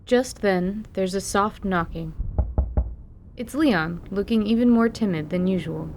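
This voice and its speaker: A woman's voice: unhurried, a little mellow, a little hiss or hum. A woman narrating a dramatized fantasy story from a script. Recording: rumbling background ambience.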